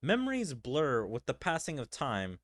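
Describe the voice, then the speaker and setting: A man's voice: mid-range voice, huge pitch swings, normal speed, fairly bright tone, dry recording, studio quality. A man voicing a kindly, reflective young leader and teacher from an anime game, reading the lines aloud. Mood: pensive. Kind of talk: amateur read-aloud of anime game dialogue.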